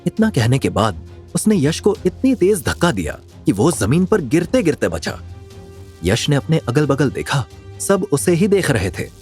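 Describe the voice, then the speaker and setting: A man narrating a scripted drama story in an expressive storytelling style. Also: a little high, a little slow, soft background music.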